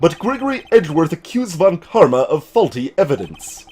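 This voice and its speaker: A man voicing a grave older lawyer in a video game, speaking in a measured, formal way. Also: fairly high pitch, very animated, darkish tone, husky. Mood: solemn, explanatory. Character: grave, formal older male lawyer recounting old cases.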